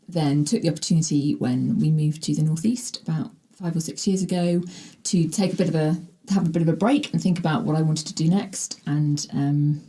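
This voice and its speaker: A woman in her forties, a guest in a podcast studio, talking in a halting way with many filler sounds. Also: deep voice, warm tone, low-quality recording. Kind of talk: casual interview.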